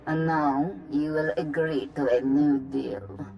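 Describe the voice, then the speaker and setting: An actor playing a cold, commanding envoy of a crime boss in a video game, speaking in a formal, imperious, measured way. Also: mid-range voice, little pitch movement, creaky, lo-fi sound. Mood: cold, insistent. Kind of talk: video game cutscene acting.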